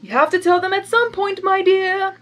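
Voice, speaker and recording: A woman reading the lines of a mischievous male chaos creature in a slow, teasing way. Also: extremely high pitch, fairly even intonation, a bit bright, faint music behind, pitched above the performer's own voice. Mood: smug.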